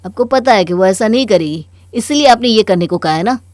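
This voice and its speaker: A woman's voice: medium pitch, lively intonation, a little fast, a little mellow, dry recording, studio quality, lightly acted. A woman voicing a young married man in a cartoon story, firm and reasoning, mildly exasperated. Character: calm, firm young married son reasoning with his mother. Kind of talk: Hindi cartoon story dialogue.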